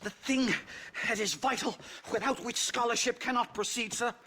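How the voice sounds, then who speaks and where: A man's voice: very high-pitched, fairly even intonation, moderate pace, sharp tone, slight background noise. A man playing a fussy, cowardly academic in a video game, pompous and stammering in his delivery. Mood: jittery. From Connecticut, as the character says. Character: fussy, cowardly, pompous male Yale anthropology professor. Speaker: actor in a video game cutscene.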